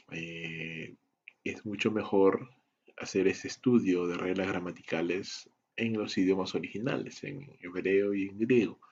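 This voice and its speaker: A man teaching a Bible study lesson, in a measured, deliberate style that moves through lists and rhetorical questions. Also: deep voice, a little mellow, slightly rough, dull audio. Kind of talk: slow, deliberate teaching lecture.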